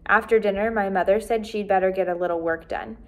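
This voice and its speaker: A woman in her twenties reading a children's book aloud as a teacher, with expressive, clearly paced narration. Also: mid-range voice, fast. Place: small decorated indoor room.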